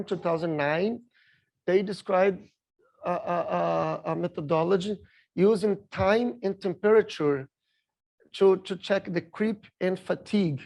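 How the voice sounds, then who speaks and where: A middle-aged man with a Brazilian Portuguese accent, giving a halting conference talk full of filler sounds, a Portuguese speaker working in English. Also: high-pitched, gravelly, darkish tone. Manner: halting, many filler sounds, apologetic about his English. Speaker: industry speaker at a rail seminar. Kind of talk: conference presentation with slides.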